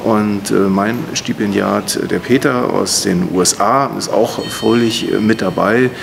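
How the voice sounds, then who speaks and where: A man in his fifties giving a statement to camera as a member of parliament, phrasing it in a measured, hesitant way. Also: steady tone. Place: indoors, in front of banner wall. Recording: clear background noise, low rumble.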